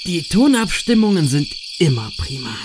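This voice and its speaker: A man's voice: a little high, sing-song, moderate pace, noisy background. A man playing a terse, sceptical, grumbling camper in a horror-comedy audio play. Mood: dry. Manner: dry, terse. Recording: music behind the voice.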